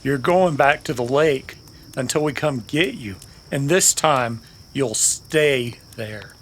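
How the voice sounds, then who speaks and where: An actor playing a vengeful, bossy cowboy with a cold, venomous, commanding manner in a Western radio drama. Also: male voice, mid-range voice, expressive, slow, slight background noise. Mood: angry, commanding. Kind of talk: old-time radio Western acting.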